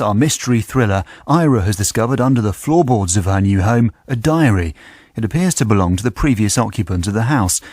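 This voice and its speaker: A man's voice: lowish voice, darkish tone, no room sound. Dry, close male radio announcer. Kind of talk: scripted programme introduction. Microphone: close dry studio mic.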